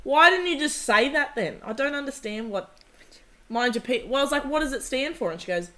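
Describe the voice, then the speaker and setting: A woman's voice: high-pitched, expressive, brisk, pushed and bright. A woman hosting a casual comedy chat podcast, talking in a playful, chatty way with some swearing. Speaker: podcast host.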